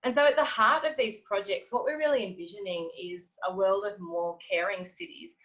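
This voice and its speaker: A woman reads a prepared academic talk over a video call, measured and earnest. The audio sounds dull and lo-fi. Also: mid-range voice, a bit bright. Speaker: panel speaker, researcher.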